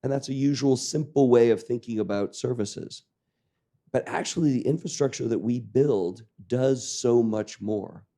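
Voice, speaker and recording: A man with a croaky voice giving a lecture to a training class, joking and questioning the audience while clearing his throat. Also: medium pitch, moderate pace, warm tone. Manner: jokey, engaging the audience with questions. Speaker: lecturer on public-private partnerships. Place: lecture room with live audience.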